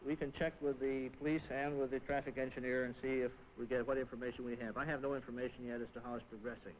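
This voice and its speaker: A man's voice: medium pitch, level tone, fast, a little hiss or hum. A man briefing officials as a civil defense director, speaking plainly with some hesitation. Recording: dull old film sound in a reverberant room.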